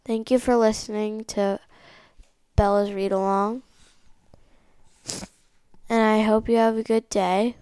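A woman's voice: mid-range voice, level tone, slow, long pauses, warm tone, clean tone, no room sound. A woman reading a picture book aloud as the host of a read-along.

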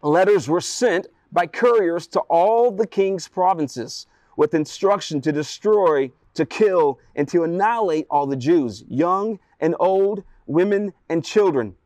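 A man's voice: high-pitched, animated, a little slow, hardly any pauses, darkish tone, no room sound. Preaching man with a dry, close sound. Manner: rhetorical questions and emphatic repetition. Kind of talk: sermon with dramatized retelling of Bible story.

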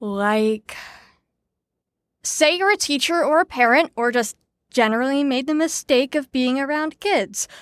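An actress playing a brisk, sarcastic teacher in an audio drama, lecturing in an articulate, wry way. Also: female voice, high voice, no echo. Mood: wry, impatient. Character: brisk, sarcastic female teacher explaining psychology theories. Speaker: lecturer leading a group lesson.

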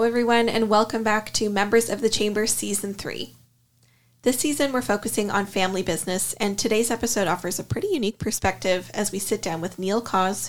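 A woman hosting a business podcast, reading a polished scripted introduction and then asking interview questions. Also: mid-range voice. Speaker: podcast host.

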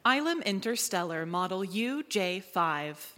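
A woman acts as an obliging shipboard artificial intelligence in a sci-fi audio drama. The delivery is slow, measured and formal, with courteous service. It rings through a reverberant live hall. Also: mid-range voice, big pitch swings, a bit bright. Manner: measured, formal computer-like phrasing. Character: obliging spaceship artificial intelligence, chipper in hospitality mode. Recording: echoey hall, live stage recording.

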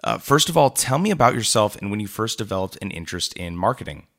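A man's voice: lowish voice, sing-song, fast talker, a bit bright. A man hosting a marketing podcast, reading a polished introduction and asking questions. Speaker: podcast host. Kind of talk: scripted intro and interview.

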